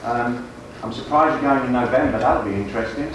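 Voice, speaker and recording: A man's voice: medium pitch, a little fast. A man with a British accent giving a short, informal address to a group as a guest, wry and a little hesitant. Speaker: guest speaker, business consultant. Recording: echoey room, distant mic, noisy ambience.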